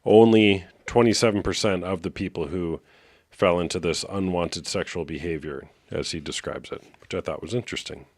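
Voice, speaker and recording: A man hosting a live call-in show, talking in a relaxed, steady way and asking callers short, direct questions. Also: low voice, moderate pace, no echo, studio quality. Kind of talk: casual call-in talk.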